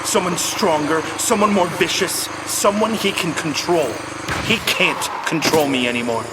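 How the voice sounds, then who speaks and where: A man's voice: on the high side, a little slow, very noisy, lightly acted. A man playing an earnest, defiant young rich heir in a cartoon. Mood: resolute defiance.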